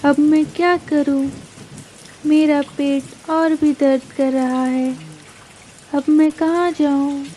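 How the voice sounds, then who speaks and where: A woman plays a worried little mother bird in a children's cartoon, very high in pitch and slow in delivery. She sounds plaintive and pleading, with noisy rain behind the voice. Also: little pitch movement, warm tone. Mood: distressed. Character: anxious, pleading young mother bird, female. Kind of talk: dramatized Hindi children's cartoon story.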